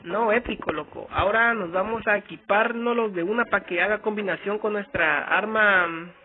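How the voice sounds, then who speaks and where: A young man, giving casual, slangy gameplay commentary as a youtuber, excitable and repeating himself. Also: high-pitched, moderate pace, narrow, tinny audio. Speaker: gaming youtuber. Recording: game music underneath. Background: game music.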